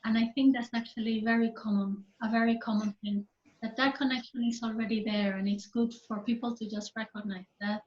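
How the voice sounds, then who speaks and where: A woman from Peru, a musician and visual artist, answering in a measured, thoughtful way with small hesitations. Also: fairly high pitch, fairly even intonation. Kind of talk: reflective interview answer. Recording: roomy, dull recording.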